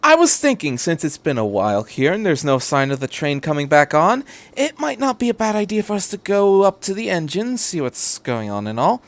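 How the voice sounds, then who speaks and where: A man's voice: on the high side, big pitch swings, a little fast, no room sound, pitched above the performer's own voice. A man voicing an upbeat, eccentric male traveller who rambles in British slang, in a storybook reading. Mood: brisk, friendly.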